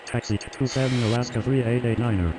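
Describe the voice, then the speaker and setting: Flight game radio role, played by a man: procedural, formulaic, businesslike, average pitch, noticeably noisy recording. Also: fairly even intonation, quick pace, natural voice. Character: businesslike male aviation radio operator in game. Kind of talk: clipped procedural radio phraseology. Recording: noisy game capture, outdoor vehicle rumble.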